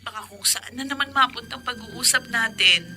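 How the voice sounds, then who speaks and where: A woman's voice: on the high side, moderate pace, sharp tone, soft background music. An actress playing a loving, self-sacrificing mother working abroad as a maid, reassuring her husband over the phone. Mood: tender. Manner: soothing, reassuring. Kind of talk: radio drama acting.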